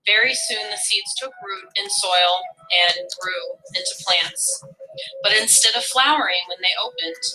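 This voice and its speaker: A woman reading a legend aloud in an expressive storytelling style. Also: mid-range voice, a little slow, low-quality recording. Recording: music behind the voice. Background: music.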